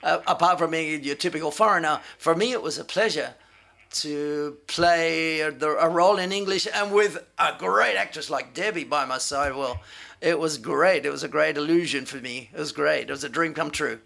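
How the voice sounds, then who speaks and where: High-voiced male interpreter with creaky edge. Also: moderate pace, sharp tone. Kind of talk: live spoken interpreting.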